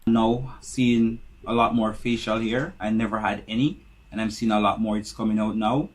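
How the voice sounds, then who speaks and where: A man from Jamaica giving a calm, measured personal account in a played interview clip. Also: fairly low pitch.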